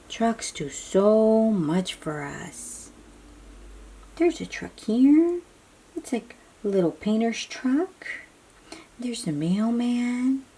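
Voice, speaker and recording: A woman's voice: medium pitch, dark tone, slightly rough. A woman reading a picture book aloud for young children, explaining in simple phrases and putting questions to the listener.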